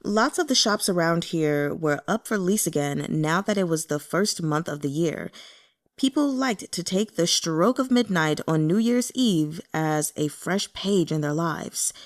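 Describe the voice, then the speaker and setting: Low-pitched woman reading a novel aloud. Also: animated, measured pace. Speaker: audiobook reader. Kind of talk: scripted novel narration.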